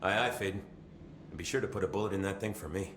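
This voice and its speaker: A deep-voiced, wry security chief. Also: male voice, fast talker, a bit bright. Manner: dry, sarcastic, matter-of-fact. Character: weary male security chief, dry humor. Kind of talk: video game cutscene acting. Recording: echoey, noisy room.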